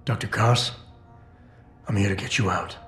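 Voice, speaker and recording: A man's voice: lowish voice, normal speed, fairly bright tone, natural voice. An actor playing a stoic, determined male cyborg operative in a video game, with a clipped, controlled delivery. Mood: reassuring, determined. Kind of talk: video game cutscene dialogue. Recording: roomy game cutscene with music. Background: background music.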